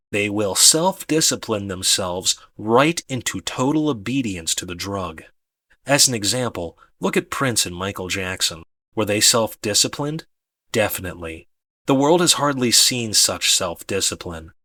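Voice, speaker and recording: A man reading an audiobook as dramatic scripted narration, with deliberate emphasis on key words. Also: fairly low pitch, sharp tone, dry recording.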